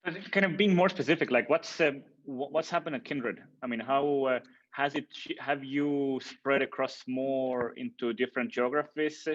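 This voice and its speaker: A man moderating a panel, putting questions to guests in a halting, informal way. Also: mid-range voice, fairly even intonation, highs cut off. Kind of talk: casual questioning.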